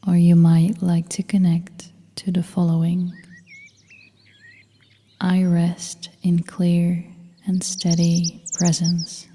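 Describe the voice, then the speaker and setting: Slow, hushed woman guiding meditation. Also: on the low side. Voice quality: soft, breathy, half-whispered. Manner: calm, gentle, soothing. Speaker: yoga nidra instructor. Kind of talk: guided meditation narration. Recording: long reverb, faint noise with birdsong.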